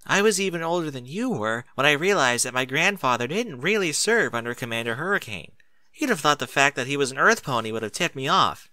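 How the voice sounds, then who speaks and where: A man voicing a kindly, light-hearted schoolteacher mare with a gentle, reassuring manner in a storybook reading. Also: mid-range voice, animated, fairly quick, no echo, voice pushed up.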